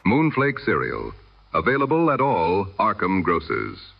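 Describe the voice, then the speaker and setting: A man's voice: low voice, huge pitch swings, a little slow, gravelly, full character voice. A man playing a 1930s radio announcer, delivering a scripted advert tag. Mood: matter-of-fact. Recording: dull vintage radio sound.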